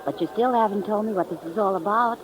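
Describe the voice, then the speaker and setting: A woman's voice: medium pitch, fast, loud music behind the voice, natural voice, low-quality recording. Actress as sweet, tender young sweetheart woman. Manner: tender, earnest. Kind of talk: 1950s radio drama acting. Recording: old radio recording, faint noise.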